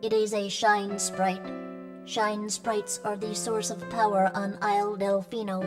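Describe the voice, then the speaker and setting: Woman acting a flat-toned, polite robot helper. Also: mid-range voice, lightly acted. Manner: precise, formal, robotic. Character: polite, analytical talking water-pump robot helper. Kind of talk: video game cutscene acting.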